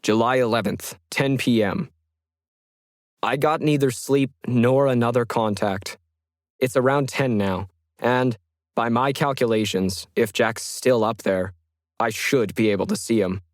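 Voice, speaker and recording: A man narrates as a solitary 1950s ham radio hobbyist recounting his journal for an audio story. The delivery is measured, methodical and reflective. The pitch is medium and the sound is dry, with no room around it. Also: dry recording, close to an everyday voice. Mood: weary, wondering. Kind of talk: scripted first-person journal narration.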